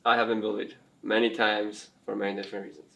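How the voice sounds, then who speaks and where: A man's voice: lowish voice, level tone, fast, creaky, noisy background. A man in his twenties talking reflectively in a group discussion. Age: 20s. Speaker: interview participant.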